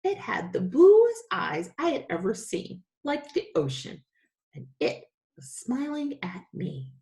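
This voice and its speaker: A woman reading a children's story aloud as a teacher, with an expressive, dramatic storytelling delivery. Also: fairly high pitch, slow, gravelly.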